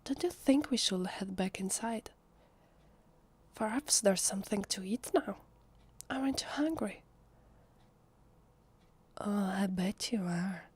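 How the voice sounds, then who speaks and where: A woman with an Italian accent playing a shy, lonely vampire posing as a human in an ASMR roleplay, speaking softly and hesitantly in a breathy half-whisper. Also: mid-range voice, slow, long pauses. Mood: nervous, coaxing. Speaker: voice actress. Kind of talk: ASMR roleplay, soft intimate speech to the listener.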